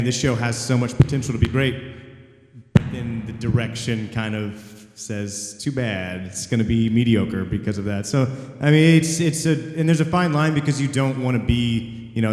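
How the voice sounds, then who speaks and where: A man's voice: lowish voice, a little mellow. Frustrated man on a panel, echoey hall. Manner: candid, frustrated, rambling. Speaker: voice actor panelist. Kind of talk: convention panel talk.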